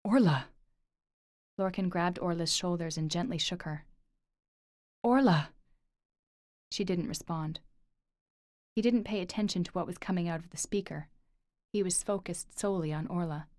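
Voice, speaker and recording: A woman's voice: lowish voice, fairly even intonation, normal speed, pauses often, a little mellow, no room sound, clean studio sound, close to an everyday voice. A woman voicing an intense, protective young man who speaks emphatically. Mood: desperate. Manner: pleading, emphatic.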